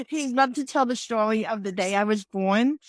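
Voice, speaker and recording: A woman's voice: on the high side, animated, measured pace, dry recording, clean studio sound. A woman in her forties with a New York accent, a podcast guest and confidence coach telling her story earnestly, often restarting her sentences. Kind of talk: podcast interview.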